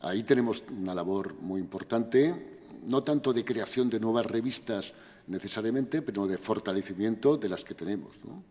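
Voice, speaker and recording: An older man, answering questions at an academic conference in a measured, lecturing way with frequent tag questions. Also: lowish voice, dark tone, in a large hall. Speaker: invited speaker at academic conference. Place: university auditorium.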